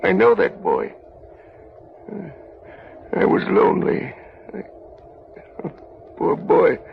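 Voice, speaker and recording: Frightened old miser, remorseful, high sharp voice, swooping theatrical delivery, old radio drama, muffled recording. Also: male voice, wildly swooping pitch, slow, gravelly, a little hiss or hum, full character voice. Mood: sorrowful. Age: older. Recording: dull old-time radio recording, music behind.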